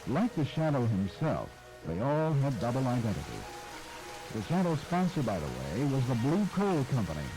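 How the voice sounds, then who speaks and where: A man giving scripted documentary narration in a measured, articulate manner. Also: medium pitch, very animated, music in the background, poor audio.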